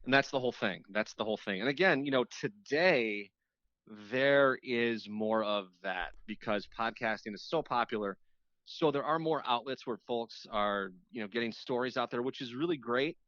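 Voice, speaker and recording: A man with a mid-pitched voice talks casually as an interview guest, circling back to rephrase as he explains. The sound is dry and somewhat dull, like a muffled lo-fi connection. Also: moderate pace, a bit bright, no echo. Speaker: radio show host appearing as guest.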